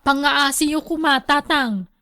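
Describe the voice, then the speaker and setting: Lady playing worried daughter in a radio drama, high voice, earnest and imploring. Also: a little slow, sharp tone, exaggerated voice. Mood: pleading. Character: concerned younger woman pleading with an elderly father. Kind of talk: Ilocano radio drama acting.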